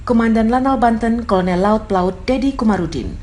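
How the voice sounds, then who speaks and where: Woman reading a news voice-over, mellow tone. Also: on the high side, a little fast. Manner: steady, even reading pace. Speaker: news reporter.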